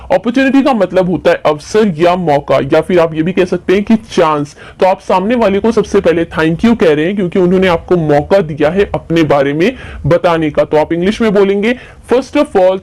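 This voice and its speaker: A woman teaching spoken English, patiently explaining phrases in Hindi and repeating each one slowly. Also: on the low side, a little mellow.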